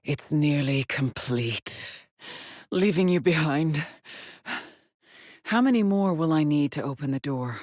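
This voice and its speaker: An actress playing a weary, determined lone space explorer in a video game, with a measured, solemn, haunted delivery. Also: female voice, low voice, expressive, darkish tone, dry recording, clean studio sound, heavily acted. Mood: terse, focused. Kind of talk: dramatic game voice lines, short log entries.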